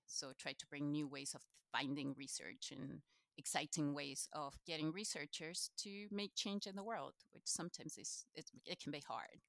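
A woman's voice: sits low, gravelly, fairly bright tone, no echo. A woman from Chile, a native Spanish speaker with a Chilean accent, chatting enthusiastically as an interview guest. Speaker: interview guest, knowledge exchange manager. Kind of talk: casual conversational interview answers.

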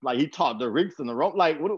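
A man's voice: fairly high pitch, expressive, fast talker, breathy edge, no room sound. A young American man from Texas hosting a podcast, talking casually in a rambling, slangy way, with a slightly muffled sound. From Texas, USA. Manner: informal slangy speech, rambling. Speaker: podcast host. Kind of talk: casual conversational talk.

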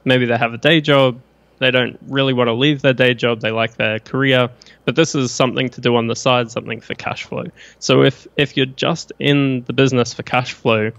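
Australian man, flat and even. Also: mid-range voice, dry recording, highs cut off. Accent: Australian with some New Zealand. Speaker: guest, business broker. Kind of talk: casual podcast interview.

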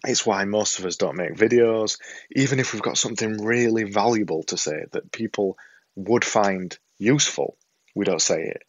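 A man's voice: fairly low pitch, no room sound, muffled recording. A man with a Yorkshire accent, talking to camera at home in a casual, earnest, self-deprecating way, often repeating himself for emphasis. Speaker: vlogger and podcaster. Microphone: desktop setup with a camera and a monitor.

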